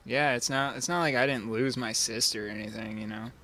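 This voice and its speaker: Low, creaky woman gamer, noisy audio. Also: big pitch swings, fairly quick. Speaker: gamer commenting on play. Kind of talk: casual playthrough commentary. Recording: noisy mic over game audio.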